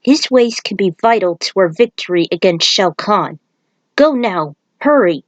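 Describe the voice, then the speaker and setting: A woman's voice: mid-range voice, lively intonation, unhurried, no echo, lo-fi sound, lightly acted. A woman voicing a solemn male thunder god who speaks in a grave, formal way, as in a storybook. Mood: solemn, instructive. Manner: grave, formal, stately phrasing.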